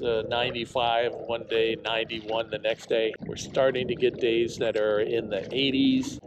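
A man's voice: mid-range voice, noisy background. A man in his sixties talking casually and plainly as host of a fishing vlog, outdoors on a small boat.

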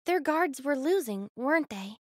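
A woman's voice: high voice, lively intonation, normal speed, dry recording, clean studio sound. A woman playing an earnest, confident young princess in a video game, with a direct, spirited manner. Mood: confident, friendly. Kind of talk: video game cutscene dubbing.

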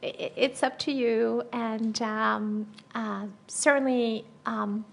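A woman's voice: a little high, fairly even intonation, takes it slowly, vocal fry. A woman professor, a mother, talking on a panel with self-mocking humor and frequent laughter. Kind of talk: laughing personal remarks. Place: lecture room panel table.

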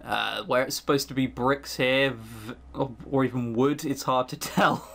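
A man's voice: medium pitch, fairly even intonation, a little slow, gravelly, fairly bright tone. A man giving let's-play commentary, reading game text aloud and breaking off for dry, sweary, critical asides.

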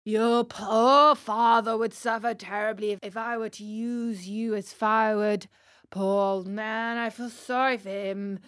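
A big, bearded bully of a puppet master from a children's storybook, acted out by a woman. The voice is loud and gruff, with slow, drawn-out orders and a soft heart underneath. The sound is close and dry. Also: fairly even intonation, dry recording. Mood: grumbling, softening. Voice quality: hoarse, booming. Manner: loud, commanding, drawn-out delivery.

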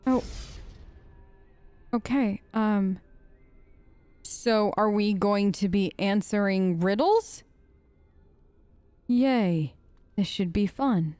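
A woman's voice: medium pitch, extremely slow, long pauses, mellow, smooth, no echo. A woman doing casual role-play gaming commentary as a let's play host, with a hesitant, wry manner.

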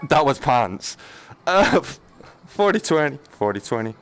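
A man's voice: mid-range voice, very animated, measured pace, fairly bright tone, soft background music. A man giving casual live game commentary, muttering and exclaiming to himself in a jokey, self-deprecating way. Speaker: gaming streamer. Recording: noticeable background noise, game ambience.

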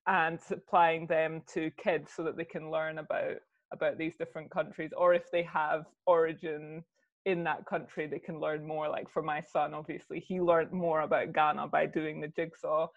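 A woman's voice: low voice, level tone, normal speed. A young woman host asks questions from a room at home, speaking into a lapel mic. She sounds encouraging and affirming. The sound is slightly dull and lo-fi. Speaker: host, interviewer. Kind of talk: casual interview over video call.

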